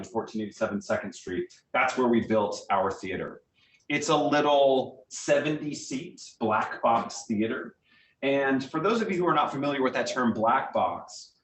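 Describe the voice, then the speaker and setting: Echoey, distant man addressing a group. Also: fairly bright tone. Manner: conversational, explanatory, addresses listeners directly. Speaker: guest speaker, theatre director. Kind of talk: informal talk to a group. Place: meeting room, speaking to a live group. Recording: distant echoey room with rumbling noise.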